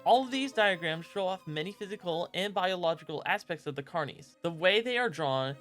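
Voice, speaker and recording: A man's voice: high voice, fairly bright tone. A man reading scripted narration as the host of a video essay.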